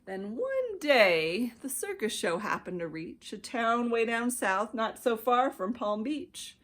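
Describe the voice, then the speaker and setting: An American woman reading a rhyming children's storybook aloud as an expressive narrator. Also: fairly high pitch, takes it slowly. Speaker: children's ministry director reading stories.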